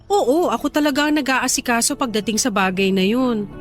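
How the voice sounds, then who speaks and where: A woman acting as a caring young girlfriend in a radio drama. She speaks gently in a slightly high voice, with faint music behind her. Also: a little fast, close to an everyday voice. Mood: caring, everyday. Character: caring young girlfriend who delivers home-cooked meals. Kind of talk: Tagalog radio drama dialogue.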